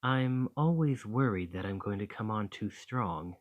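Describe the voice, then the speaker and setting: A man's voice: lowish voice, expressive, a little slow, phone-quality sound. A man playing an affectionate, slightly possessive dragon boyfriend in an ASMR romantic roleplay, speaking tenderly and reassuringly. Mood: nervous, earnest.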